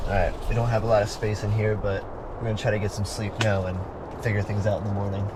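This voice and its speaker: A man's voice: little pitch movement, fast. A man improvising in a homemade survival drama, talking in a practical, conversational and slightly hesitant way. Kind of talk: improvised survival role-play, plain conversational talk. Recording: loud boat engine and water noise. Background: boat, water and vehicle rumble.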